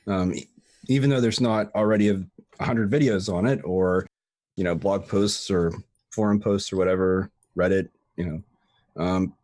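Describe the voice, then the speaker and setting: Low-voiced man chatting with many restarts. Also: fairly even intonation, normal speed, darkish tone, dry recording, studio quality. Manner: rambling with frequent restarts and fillers. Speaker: guest, tutorial creator. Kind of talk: casual interview chat.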